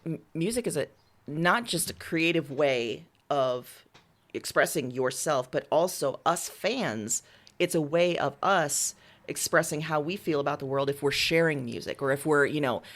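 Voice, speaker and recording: A woman's voice: low-pitched, measured pace. A woman giving casual interview answers as a writer, talking in a candid, reflective and informal way. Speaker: interviewed writer and audio drama creator.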